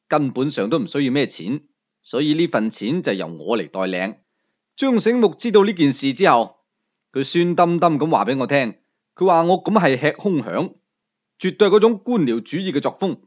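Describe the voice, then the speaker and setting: Animated male Cantonese storyteller, highish voice. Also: lo-fi sound. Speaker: audiobook storyteller. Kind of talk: scripted first-person novel narration.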